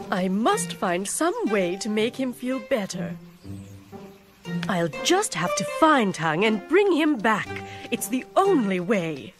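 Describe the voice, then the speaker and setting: Casting: imperious cartoon lady of the house, theatrical, swooping high voice, music behind. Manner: grand, melodramatic, drawn-out. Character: haughty, dramatic Chinese magistrate's wife. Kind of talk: animated children's cartoon acting.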